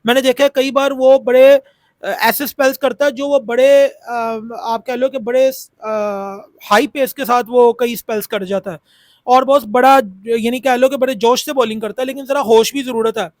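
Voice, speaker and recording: A man's voice: very high voice, fairly even intonation, moderate pace, no room sound. A man with a sore throat, chatting about cricket in a casual, repetitive way with many fillers. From Pakistan. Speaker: cricket YouTuber. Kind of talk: casual cricket analysis talk.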